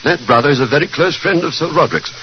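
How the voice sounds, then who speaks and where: An actor playing a fearful, deferential butler in old radio drama style, stammering and pleading. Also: male voice, fairly high pitch, quick pace, close to an everyday voice. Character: frightened, deferential male butler pleading for help. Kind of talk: 1940s radio drama acting. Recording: dull, noisy vintage radio recording.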